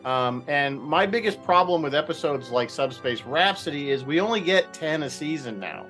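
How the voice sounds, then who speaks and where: A man's voice: on the high side, lively intonation, normal speed, fairly bright tone, faint music behind. A man sharing opinions casually on a radio roundtable, hedging and repeating himself as he goes.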